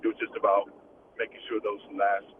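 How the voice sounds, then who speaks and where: A man speaking as an athletic director in a conversational radio interview, halting and circling back as he explains. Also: lowish voice, flat delivery. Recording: distant, noisy, phone-like radio line.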